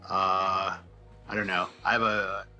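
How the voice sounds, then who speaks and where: A man's voice: deep voice, level tone, slow, pushed and bright, highs cut off, soft background music. A man chatting casually as an interview guest, telling things in a rambling, wry, informal way. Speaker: guest comics writer.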